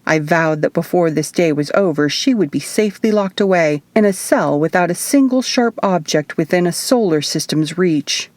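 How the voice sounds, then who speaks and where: Female audiobook narrator, fairly low register, scripted first-person storytelling, dry booth-like sound. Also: lively intonation, normal speed, few pauses, a little mellow, dry recording. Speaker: author reading own audiobook.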